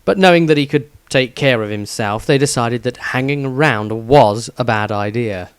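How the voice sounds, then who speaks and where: A man narrating a fantasy story aloud in an expressive storytelling manner. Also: medium pitch, normal speed, smooth, no room sound.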